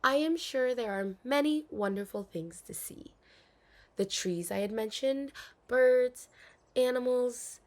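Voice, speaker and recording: A woman's voice: a little high, slow pace, a little mellow. A woman playing a dutiful, anxious young princess in an intimate audio roleplay, speaking formally with hesitations and sighs. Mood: forced cheer, nervous. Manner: formal storybook diction, hesitant, sighing.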